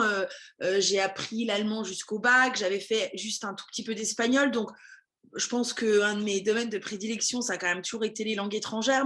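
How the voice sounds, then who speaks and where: A woman's voice: medium pitch, fairly bright tone. A woman running a language school, chatting casually in an interview with many fillers and restarts.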